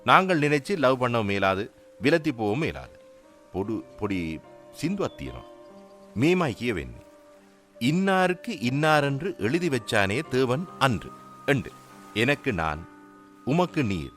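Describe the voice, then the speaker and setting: An actor playing a gentle young husband with a Sri Lankan Tamil accent, earnestly reassuring his wife. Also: mid-range voice, sing-song, unhurried, pauses often, soft background music. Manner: soothing, earnest explaining. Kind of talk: radio drama acting.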